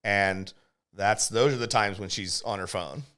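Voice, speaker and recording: Male podcast host, lowish voice. Casual review chat, emphatic, builds his case at length and seeks agreement as he goes. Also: measured pace, sharp tone, smooth. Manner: emphatic, explains at length, checks with "right?".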